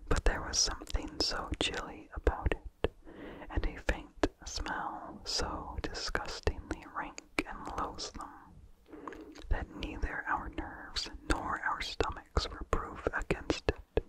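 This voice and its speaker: A narrator reading a vintage horror story entirely in a breathy, hushed ASMR whisper, with deliberate phrasing. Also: poor audio.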